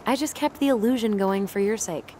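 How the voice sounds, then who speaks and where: A woman's voice: mid-range voice, brisk, dark tone, a little hiss or hum, close to an everyday voice. An actress playing a dry, guarded, teasing young American woman in naturalistic video game dialogue. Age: young adult.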